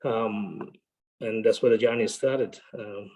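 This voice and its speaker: A man's voice: on the low side, steady tone, slow pace, dark tone, muffled recording. A man, a company director, introducing himself nervously and hesitantly with many fillers over a video call. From Bangladesh.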